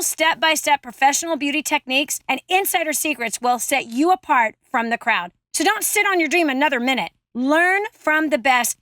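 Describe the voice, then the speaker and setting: A woman's voice: high voice, fairly bright tone, no echo. A woman reading scripted promotional narration as an advertisement announcer, close on the microphone.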